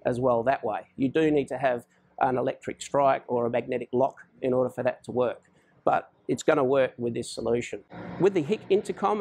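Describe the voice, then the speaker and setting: Man, mid-pitched, creaky voice, informal explainer, plain-spoken product presenter. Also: darkish tone, slight background noise. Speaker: presenter for a security installer. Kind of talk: informal product overview to camera.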